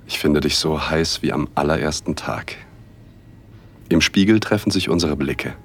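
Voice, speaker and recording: A man narrating a first-person erotic audio drama in a hushed, intimate, unhurried way, with a husky voice. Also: extremely low pitch, slight background noise. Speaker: narrator and male lead.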